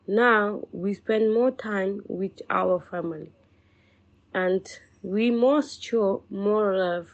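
Woman, slow careful poem reading, muffled. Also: medium pitch. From Portugal. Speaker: language student. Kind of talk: reading her own poem aloud.

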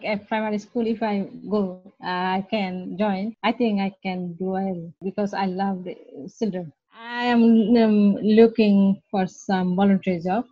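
A woman's voice: medium pitch, darkish tone, no echo. Female student from Bangladesh, hesitant with fillers, lo-fi dry sound. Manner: halting learner English with many fillers. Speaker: ESOL student. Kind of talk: casual self-introduction. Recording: dull lo-fi recording.

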